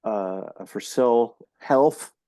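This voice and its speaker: A man's voice: medium pitch, extremely slow, dark tone, no room sound. A man co-hosting a casual podcast, joking and self-deprecating, sounding nasal from a cold. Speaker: podcast co-host.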